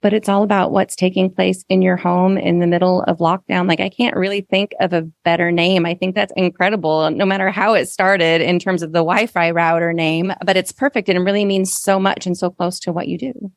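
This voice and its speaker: A woman's voice: lowish voice, fairly even intonation, brisk, few pauses, no echo, clean studio sound. A woman hosting a podcast, introducing and chatting in an effusive, encouraging way. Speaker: podcast host. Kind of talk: podcast introduction and conversational interview.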